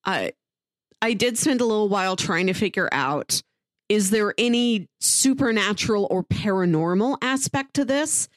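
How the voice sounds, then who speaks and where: A woman's voice: mid-range voice, expressive, moderate pace, no echo. Woman chatting casually, close dry sound. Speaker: podcast co-host. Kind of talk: casual review chat.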